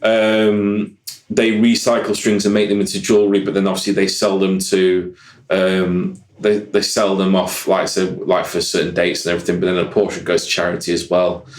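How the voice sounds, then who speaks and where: A man's voice: low-pitched, monotone, normal speed, echoey room. A man, a guest musician rambling in casual podcast chat and repeating "like I said". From Yorkshire, England. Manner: rambling, keeps saying "like I said".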